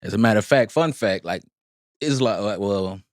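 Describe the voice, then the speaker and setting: Arabic-native man, podcast guest, low voice, relaxed rambling delivery, dry close-miked sound. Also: moderate pace, dry recording. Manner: laid-back, rambling with many fillers. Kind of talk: casual interview chat.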